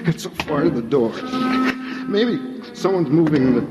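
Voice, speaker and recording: A man's voice: fairly high pitch, animated, unhurried, low-quality recording. An actor playing a frightened, bewildered, pleading man in a nightmare, in 1950s radio drama style. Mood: desperate, afraid. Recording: vintage radio recording with music behind.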